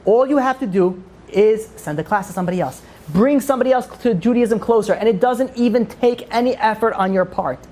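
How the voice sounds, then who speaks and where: A woman's voice: a little high, brisk, dark tone. A woman giving an informal religious lecture to a group, emphatically asking questions and answering them herself. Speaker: religious class teacher.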